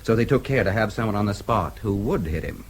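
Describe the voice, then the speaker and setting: An actor playing a shrewd, easygoing American newsman in a radio drama, with a husky voice and a casual, probing manner. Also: on the low side, lively intonation, fairly quick.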